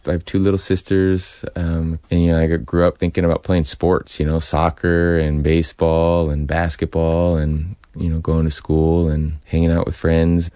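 A man's voice: low voice, completely flat delivery, normal speed, hardly any pauses, mellow, no room sound. A man from California with an American accent, chatting casually as a studio guest. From California, USA. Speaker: interview guest and informal interpreter. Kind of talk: casual chat.

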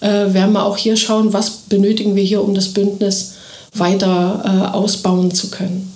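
A woman's voice: mid-range voice, flat delivery, moderate pace, darkish tone. Eager woman kindergarten director, echoey room. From Thuringia, Germany. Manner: eager, fluent, with frequent filler sounds. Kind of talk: radio interview.

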